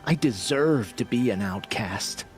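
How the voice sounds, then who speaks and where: An actor playing a humble, remorseful outcast tribesman in a video game, speaking in an earnest, halting, solemn way. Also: mid-range voice, expressive, soft background music, natural voice. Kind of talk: video game character acting.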